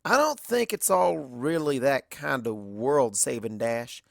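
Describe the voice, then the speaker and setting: A plain-spoken farm mare from a cartoon, played by a woman narrator. Her voice is low and creaky, sensible and matter-of-fact, recorded dry. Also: lively intonation, a little slow, no room sound, full character voice. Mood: skeptical.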